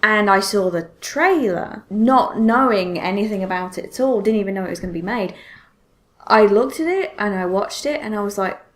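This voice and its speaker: A woman's voice: mid-range voice, normal speed. A woman hosting a podcast in casual chat, telling things expressively with frequent self-interruptions and exclamations. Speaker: podcast host.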